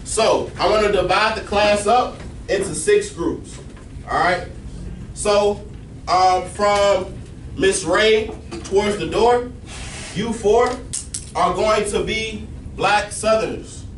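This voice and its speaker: A man's voice: high voice, slow. A male teacher giving directions to a class, often checking in with "all right?". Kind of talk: classroom instruction. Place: large classroom with students. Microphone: far camera at back of room. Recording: echoey classroom from far off, noisy.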